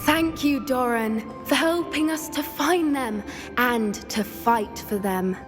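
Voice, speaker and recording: An actress voicing a gentle, shy young female student in a video game, soft and earnest. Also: high voice. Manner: soft, earnest, a little halting. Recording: reverberant with music.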